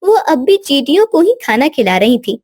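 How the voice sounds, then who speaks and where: A woman's voice: high-pitched, sing-song, normal speed, darkish tone, no echo, studio quality. A woman reading scripted narration for a moral story as the storyteller.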